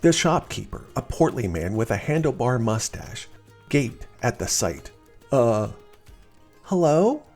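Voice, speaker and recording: A man narrates a children's storybook with a very lively, expressive delivery. His pitch is average for a man and swings widely. Light music plays behind him. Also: normal speed.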